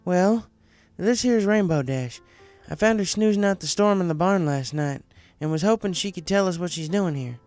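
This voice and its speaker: Man voicing a high-pitched folksy farm pony cowgirl. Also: normal speed, few pauses, darkish tone, faint music behind. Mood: warm, folksy. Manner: folksy, welcoming, plain-spoken. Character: friendly hospitable young farm mare, cartoon cowgirl pony.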